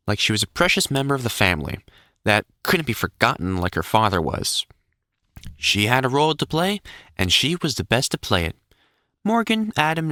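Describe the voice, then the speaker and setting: Male narrator for illustrated story reading; lively, swinging intonation, measured delivery, dry recording. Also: medium pitch, no echo.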